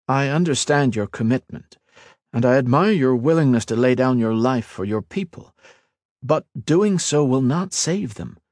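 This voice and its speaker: A man voicing an earnest, calm male mage lord who speaks evenly and respectfully. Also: mid-range voice, moderate pace, no room sound, studio quality, pitched above the performer's own voice, close to an everyday voice. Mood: persuading.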